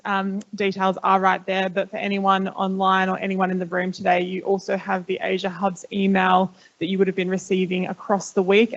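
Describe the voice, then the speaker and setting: A woman's voice: fairly low pitch, vocal fry, dull audio. A woman moderating a conference session and introducing speakers.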